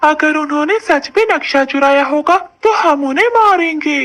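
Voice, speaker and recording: A woman's voice: high-pitched, moderate pace, a bit bright, soft background music, voice pushed up. A woman voicing an anxious, resentful bird companion in a children's cartoon. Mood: worried. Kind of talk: Hindi children's cartoon dialogue.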